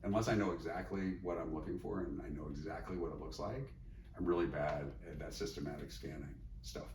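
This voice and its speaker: Middle-aged man, very low voice, thoughtful interview guest, roomy echo, sits back from the mic. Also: steady tone, a little fast, a little mellow. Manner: thoughtful, meandering, many fillers and restarts. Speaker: psychologist and teacher, podcast guest. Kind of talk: reflective interview conversation. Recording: echoey room, mic at a distance, faint noise.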